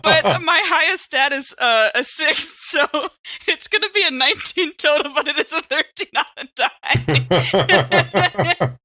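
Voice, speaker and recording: A woman's voice: high voice, a little slow. A woman player in a tabletop game, chatting casually and playfully as she describes her character's actions. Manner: animated, playful.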